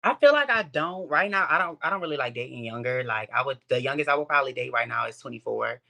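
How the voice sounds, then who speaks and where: Gravelly laid-back young man. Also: medium pitch, animated, fast talker, pushed and bright. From New Orleans, USA. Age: 20s. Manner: laid-back, slangy, trailing off with "you know". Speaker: guest contestant. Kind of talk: casual chat.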